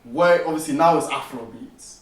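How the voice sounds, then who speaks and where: A man's voice: a little high, moderate pace. Rambling male interview host, roomy sound. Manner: rambling questions, filler "obviously", frequent agreeing murmurs. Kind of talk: casual interview chat. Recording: roomy echo, distant mic.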